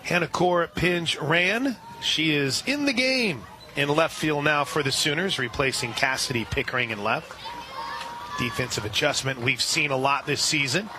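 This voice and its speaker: A man doing live radio play-by-play of a softball game, relaxed and chatty, lifting his voice on big plays. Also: fairly high pitch, moderate pace.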